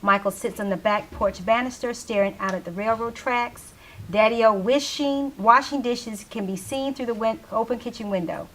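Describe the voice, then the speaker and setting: A woman's voice: a little high, normal speed, fairly bright tone, poor audio. A woman narrating a play by reading its stage directions aloud from a script.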